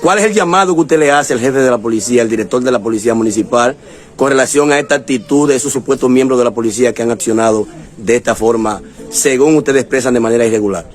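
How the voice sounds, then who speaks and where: A man's voice: medium pitch, quick pace. A man reporting from the field and questioning local officials. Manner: leading questions, wrapping up reports. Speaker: news reporter. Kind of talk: field news interview.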